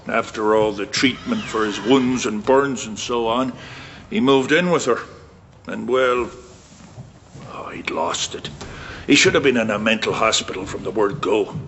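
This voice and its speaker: A man's voice: fairly low pitch, a little slow, fairly bright tone. An actor with a Scottish accent playing a bitter, wary man in a naturalistic radio drama. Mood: troubled. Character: bitter, wary man discussing his violent war-damaged cousin. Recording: muffled, with clear background noise.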